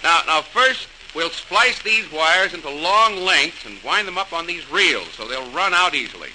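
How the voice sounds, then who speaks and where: A man's voice: fairly high pitch, lively intonation, moderate pace, pushed and bright. An actor playing a determined, earnest male engineer in old-time radio drama style, with emphatic, stagey delivery on a noisy old recording. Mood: hearty, eager. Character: John Roebling, earnest, determined male engineer inventor rallying his neighbors. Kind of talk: 1940s radio drama acting.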